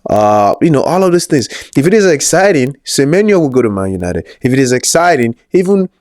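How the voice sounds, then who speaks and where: A man's voice: medium pitch, sing-song, fairly quick, a little mellow, dry recording. Opinionated Nigerian-accented man. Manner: opinionated, rambling asides. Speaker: co-host. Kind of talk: casual football chat.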